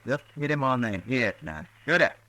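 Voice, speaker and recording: A menacing outlaw. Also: male voice, medium pitch, very animated, moderate pace, sharp tone. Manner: threatening, gruff. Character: menacing outlaw horse thief, middle-aged man. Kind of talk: 1950s radio acting.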